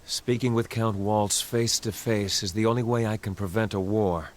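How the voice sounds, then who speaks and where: An actor playing a young prince in a game cutscene, speaking earnestly and solemnly about sacrificing himself for peace. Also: male voice, lowish voice, steady tone, moderate pace, close to an everyday voice.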